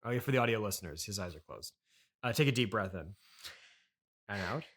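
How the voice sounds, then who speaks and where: A man's voice: lowish voice, lively intonation, a little fast, a bit bright. A man hosting an improvised comedy interview, stammering and placating as he guides the conversation. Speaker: podcast host and interviewer.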